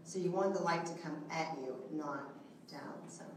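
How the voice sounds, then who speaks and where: A woman with a soft, slightly low voice teaches a small group in a very echoey room, her voice picked up from a distance on a handheld mic. She keeps it casual and chatty, checking in with listeners often, and faint room noise sits underneath. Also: moderate pace. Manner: chatty, asks the group questions, many okays and rights. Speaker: trainer teaching a selfie workshop. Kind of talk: live instructional talk to a group. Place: indoor room with a large window, small audience present. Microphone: handheld microphone.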